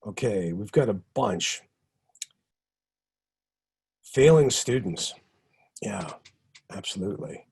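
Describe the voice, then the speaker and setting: A man hosting an online workshop session over a video call, reading out comments in a halting way with many ums and uhs. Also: lowish voice, animated, gravelly, a little mellow, breathy edge. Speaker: session host.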